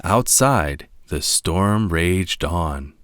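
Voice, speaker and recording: A man's voice: low-pitched, animated, very slow, fairly bright tone, no echo, studio quality. A man narrating a children's storybook aloud. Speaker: storybook reader.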